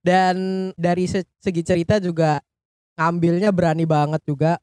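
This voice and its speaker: A man's voice: on the high side, fairly even intonation, normal speed, darkish tone, clear, resonant tone, no room sound, clean studio sound. A man with a colloquial Jakarta Indonesian accent hosts a casual film discussion in joking, informal slang. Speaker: podcast host.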